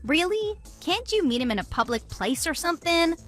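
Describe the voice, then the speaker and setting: A woman's voice: very high-pitched, big pitch swings, normal speed, fairly bright tone, faint music behind, heavily acted. A woman playing a smug, gloating mistress in a radio drama, taunting and boastful in an exaggerated way. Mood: smug, impatient. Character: smug, gloating mistress who steals other women's men. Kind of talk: scripted radio drama dialogue.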